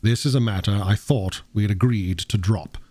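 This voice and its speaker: A man voicing a troubled, secretive Victorian gentleman doctor in an audiobook, formal, earnest and emphatic, with a husky voice. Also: lowish voice, normal speed, no echo, close to an everyday voice. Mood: curt.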